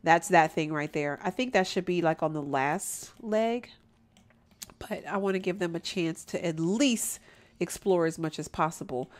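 A woman's voice: lowish voice, unhurried. Young woman, gameplay commentator, nasal stuffy-nose tone, easygoing and chatty, home desk mic. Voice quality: nasal from allergies. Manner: chatty, addresses viewers as y'all, laughs often. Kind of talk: casual let's play commentary.

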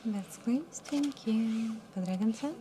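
A young woman dealing cards at a live casino table, calling results in a soft, mumbly voice that sinks toward a whisper. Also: on the high side, slow, slight background noise. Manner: soft, mumbly, drops to near whisper at round ends. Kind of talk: calling card game rounds.

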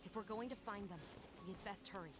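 A brisk young woman handler from a fantasy hunting game, acted out by a woman. She hands out orders in a businesslike way, rattling them off quickly. Her voice is bright and tight. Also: medium pitch, faint music behind, close to an everyday voice. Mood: urgent.

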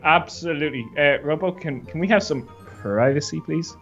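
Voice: mid-range voice, moderate pace, a bit bright, lo-fi sound, faint music behind. A voice actor playing a playful, cheeky, lovestruck young man, exclaiming and teasing in an improvised adventure story.

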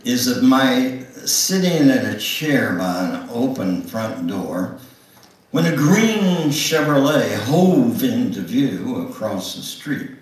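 A ninety-year-old man giving a dramatic, pause-filled memoir reading as a guest speaker. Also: mid-range voice, big pitch swings. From Knoxville, Tennessee, United States. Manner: slow, theatrical delivery with long pauses. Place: church sanctuary, indoors. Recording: echoey hall, distant microphone.

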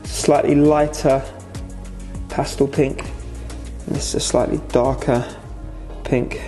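A man's voice: medium pitch, little pitch movement, slow, mellow, soft background music. A young man from England talking casually and matter-of-factly through a restaurant renovation, speaking to a camera in a large indoor space. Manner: casual, matter-of-fact, lots of "so" and "obviously". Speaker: restaurant owner. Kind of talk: vlog update on a restaurant renovation.

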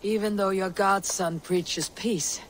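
A man playing a stoic, steadfast Viking warrior leader in a video game, speaking in a measured, solemn way. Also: high-pitched, fairly even intonation, fairly bright tone, slight background noise, rough recording. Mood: calm, grave. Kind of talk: dramatic video game cutscene acting.

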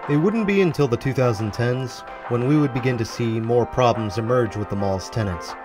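A man's voice: mid-range voice, moderate pace. A man delivering scripted, documentary-style narration for a walkthrough video. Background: background music.

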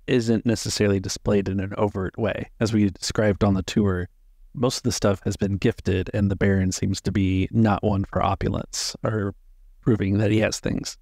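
A man's voice: on the low side, vocal fry, darkish tone, no room sound, studio quality. A man running a tabletop roleplaying game as game master, narrating scenes and explaining the rules.

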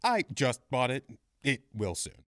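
An actor playing a suave, flirtatious mobster nightclub owner in an audio drama, theatrical and smooth-talking. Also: mid-range voice, very animated, unhurried, dry recording, clean studio sound. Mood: oily charm.

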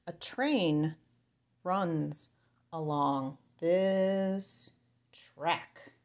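A woman's voice: fairly low pitch, very slow, a little mellow. A woman reading a picture book aloud to small children, sing-song and playful, speaking to them directly. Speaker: children's storyteller.

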